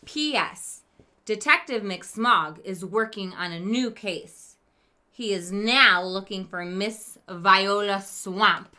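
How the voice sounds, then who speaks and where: Female primary teacher, storybook read-aloud: forceful bright tone, slow delivery, engages young listeners directly. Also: medium pitch. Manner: expressive, emphatic, asks listeners questions. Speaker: teacher reading aloud to pupils.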